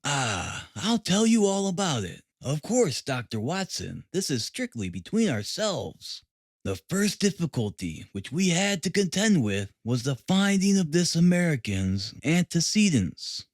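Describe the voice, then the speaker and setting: A man acts out a self-satisfied police detective in a storybook reading. He boasts in a chatty, emphatic way, with a raised, very lively voice. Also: on the high side, no room sound, studio quality, voice pushed up.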